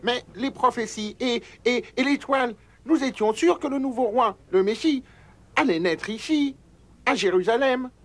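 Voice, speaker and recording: An actor playing an eager, earnest traveller in a cartoon. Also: male voice, very high voice, moderate pace. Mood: puzzled, earnest. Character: eager, earnest traveller seeking a newborn king. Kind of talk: French cartoon dub acting.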